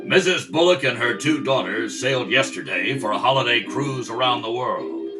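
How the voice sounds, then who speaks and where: A man's voice: mid-range voice, expressive, moderate pace, far from the mic, over music, natural voice. A man playing a dry, exasperated wealthy father in an old-time radio comedy. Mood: reading aloud. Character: weary, exasperated wealthy father of two grown daughters.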